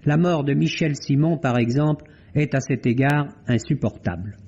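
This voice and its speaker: A man's voice: mid-range voice, moderate pace, heavy background noise. A man reading a scripted review as a critic on an old French radio broadcast, articulate and emphatic, with phrases that rise and fall. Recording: dull lo-fi vintage radio sound.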